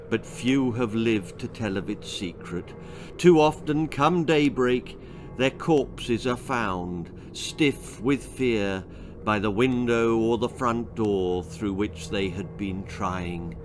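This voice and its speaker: A man reading a children's comic horror story aloud in a theatrical, suspenseful, deliberate storytelling style. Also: mid-range voice. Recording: music behind the voice. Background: music.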